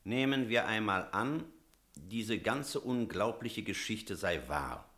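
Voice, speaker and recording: An actor playing an energetic, rational village priest in a German radio drama, speaking in a decisive, emphatic way. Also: male voice, on the low side, slow, exaggerated voice. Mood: stern, reasoning. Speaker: character in a radio play.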